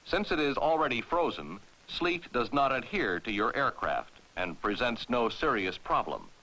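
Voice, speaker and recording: An American man narrating a 1960s military training film in a formal, authoritative, clearly enunciated way, on a vintage film soundtrack. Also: mid-range voice, sing-song, normal speed, bright, forceful tone, poor audio.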